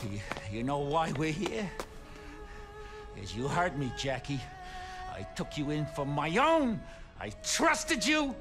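A brutal, wounded mob boss from a video game, middle-aged. His delivery is slow and menacing but swings widely as he threatens. Music sits behind him. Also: fairly high pitch, sing-song, exaggerated voice. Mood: accusing. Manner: volatile, menacing, deliberate.

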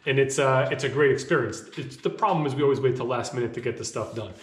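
A man in his thirties talking casually to camera from a small home office, rambling a bit with fillers, his voice slightly hoarse from a cough. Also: medium pitch, fast talker. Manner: conversational, rambling with fillers and restarts. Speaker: vlogger. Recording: echoey room.

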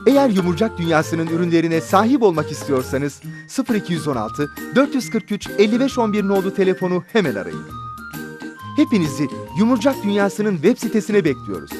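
A woman's voice: lowish voice, sing-song, a little fast. A woman announcing a toy commercial in an upbeat, selling way, with music playing. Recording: with background music.

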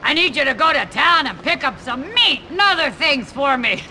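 A woman playing a gruff, slovenly middle-aged school cook in a video game, coarse and cackling. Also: high voice, big pitch swings, normal speed, sharp tone, slight background noise, exaggerated voice. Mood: brash, amused.

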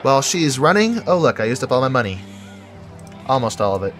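A man's voice: mid-range voice, sing-song, fairly quick, fairly bright tone, soft background music. A man with a head cold and a nasal, stuffy voice gives casual commentary while building in a game, thinking aloud and correcting himself. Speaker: game video creator.

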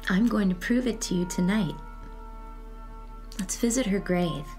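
An actress plays a secretive woman convinced of the spirit world. Her voice is soft-edged and dark, mid-pitched, and she speaks in a measured, cryptic way. Faint music sits behind this suspense audio drama. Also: lightly acted. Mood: quietly certain. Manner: measured, cryptic, solemn delivery. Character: eerie, secretive woman who believes in spirits.

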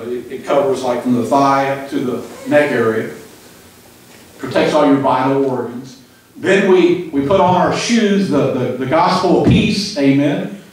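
A man in his sixties preaching a sermon in a small church hall into a handheld mic. He talks in a folksy, halting way, repeating words and asking "Amen?" often. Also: a little high, a little mellow. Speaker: pastor. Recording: echoey room, mic picked up from a distance.

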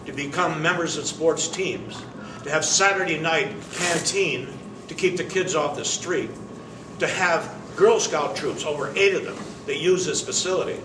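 An older man making an emphatic, indignant statement at a press conference, pausing deliberately between phrases, heard from across an indoor room. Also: on the high side. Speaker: managing member of the facility's owners. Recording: roomy, distant, noisy camera audio.

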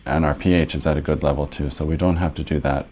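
A man's voice: very deep voice, steady tone, quick pace, darkish tone. A young American man, a farmer, explaining soil preparation in a matter-of-fact, methodical way in a documentary interview recorded indoors on a lapel mic. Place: living room, indoors.

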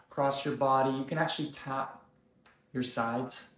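A man in his thirties hosting a live wellness stream, calm and gently guiding, with slow deliberate phrasing. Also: medium pitch, echoey room. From South Africa, raised in Canada. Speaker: wellness host. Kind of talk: live-stream coaching chat. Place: room indoors.

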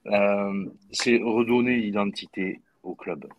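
A middle-aged man speaks over a video call from his home, his low voice stopping and restarting around fillers and repeated words. The sound is dry and close, with no room behind it. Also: slow, dry recording, muffled recording. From southwest France. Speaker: guest, former footballer. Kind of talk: casual video-call discussion. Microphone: video call webcam.

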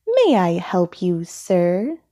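A woman's voice: fairly low pitch, wildly swooping pitch, slow pace, warm tone, heavily acted. A woman voicing a courteous, eager male pet shop salesperson in a storybook, with a formal, persuasive manner. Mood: helpful. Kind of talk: children's storybook character voice.

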